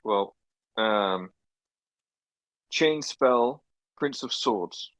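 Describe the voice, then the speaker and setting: A man's voice: fairly low pitch, expressive, drawn-out words, fairly bright tone, no room sound. A man from Wales playing a tabletop game, talking hesitantly with many restarts. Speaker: player. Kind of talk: tabletop game play over voice chat.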